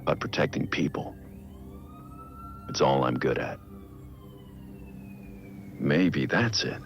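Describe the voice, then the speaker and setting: An actor playing a brooding billionaire vigilante, recording a measured, reflective personal audio log in a game. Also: male voice, expressive, muffled recording, faint music behind, exaggerated voice. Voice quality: low gravelly voice. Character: brooding billionaire vigilante, man in his prime.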